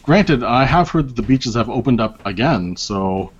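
A man's voice: medium pitch, lively intonation, moderate pace, slightly rough. A man as an interview guest, chatting casually with lots of fillers and restarts and a wry, self-deprecating manner. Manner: rambling, many fillers and restarts. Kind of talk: casual conversation. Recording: dull lo-fi audio.

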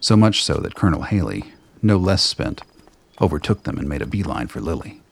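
A man with a gravelly, husky voice reading scripted narration for a radio play. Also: very deep voice, sing-song, brisk.

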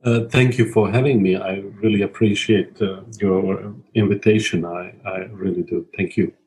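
A man in his thirties from Bosnia and Herzegovina, a native Bosnian speaker, recounting his childhood as an interview guest; he talks reflectively, with halting restarts. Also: on the low side, steady tone, a little mellow, a little hiss or hum, poor audio. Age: 30s. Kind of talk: personal testimony in an interview.

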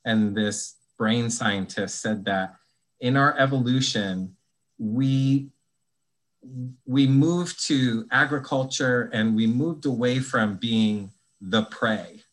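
A man's voice: mid-range voice, slow pace, rough recording. A man talking as a podcast guest, explaining ideas in a thoughtful, conversational way with frequent restarts. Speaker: guest, anti-bias trainer.

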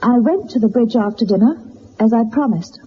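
An actress playing an earnest, distressed young governess who speaks formally and hesitantly in a radio drama. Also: female voice, fairly high pitch, expressive, normal speed, dull audio, slight background noise, close to an everyday voice. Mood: haunted, distressed. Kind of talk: dramatised detective radio play.

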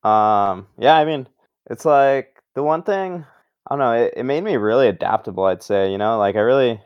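A man's voice: medium pitch, normal speed, smooth, no room sound, highs cut off. A young man from Brazil, a guest in a casual interview, talking loosely and filling his speech with "like" and "um". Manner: relaxed, loose, leans heavily on "like" and "um".

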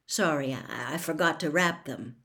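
A woman's voice: low-pitched, moderate pace, gravelly, fairly bright tone, voice pushed down. A woman voicing a polite, awkward, kindly teenage boy wizard in a storybook, hesitant and inclined to mutter. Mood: awkward, sheepish.

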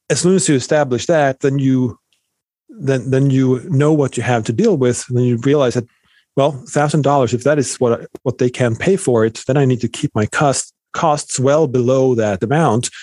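A man's voice: mid-range voice, moderate pace, a little mellow, no echo. A middle-aged man from Sweden, a professor answering interview questions thoughtfully, with many fillers and restarts. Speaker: economics professor guest.

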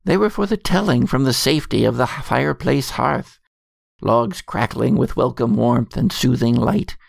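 Male actor as doomed lore keeper, deep vocal fry, weary, secretive, measured, portentous, gothic audio drama monologue, dry sound. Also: lively intonation, no echo. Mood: brooding. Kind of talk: scripted dramatic narration.

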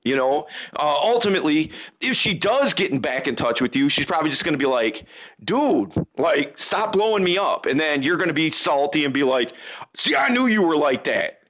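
A young man giving dating advice in casual chat, slangy and animated, acting out texts in silly voices. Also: a little high, moderate pace.

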